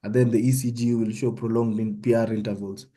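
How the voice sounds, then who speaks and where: Young Kenyan male tutor, Kenyan accent, mellow voice, casual teaching that keeps checking in with listeners. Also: lowish voice, little pitch movement, fairly quick. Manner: conversational, often checks in with "yeah?". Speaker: medical student tutor. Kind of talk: informal online tutorial.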